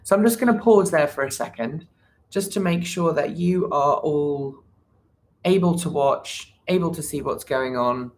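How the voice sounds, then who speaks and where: A woman with a slightly low voice hosts a casual livestream from her computer. She talks loosely and keeps restarting her sentences with lots of ums. She stops now and then to greet the viewers in the chat. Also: a little slow, darkish tone, a little hiss or hum. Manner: hesitant, many fillers and restarts; chats with viewers, reads the chat. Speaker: livestream host.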